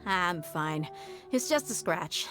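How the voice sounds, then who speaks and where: A woman's voice: lowish voice, lively intonation, slow pace, a bit bright, soft background music. An actress playing a tough, cocky but loyal female mercenary bodyguard in an anime game, talking with casual swagger. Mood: dismissive.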